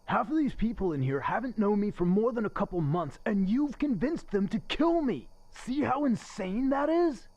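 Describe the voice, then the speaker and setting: An actor playing a crude, bitter but lonely restaurant server in a radio play, with sarcastic, needling delivery. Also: male voice, sits high, animated, normal speed, few pauses, a little mellow. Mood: bitter, agitated.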